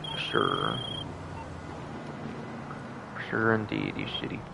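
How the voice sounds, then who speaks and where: A man with a gravelly voice doing rambling livestream commentary on a video game, repeating his phrases over and over. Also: on the low side, huge pitch swings, slow pace. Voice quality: gravelly, creaky voice. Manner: frequent throat clearing. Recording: noticeable background noise.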